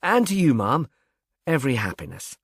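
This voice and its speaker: A man's voice: medium pitch, sing-song, normal speed, vocal fry, husky, no room sound, clean studio sound, heavily acted. A man voicing a reserved police inspector in an audiobook, formal, impassive and courteous. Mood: grave, courteous.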